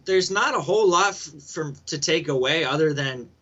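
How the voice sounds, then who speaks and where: A man's voice: a little high, expressive, moderate pace, a bit bright. A man co-hosting a sports podcast in easygoing casual chat. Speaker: podcast co-host. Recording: dull lo-fi mic.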